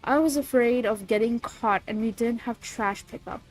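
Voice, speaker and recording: A high-pitched woman with a cold, sniffling as she chats with her livestream viewers. She talks bubbly and loose, swears now and then, and reads creepy stories aloud. Soft music plays faintly behind her. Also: normal speed, poor audio. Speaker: streamer reading creepypasta. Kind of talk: casual livestream chat and reading aloud.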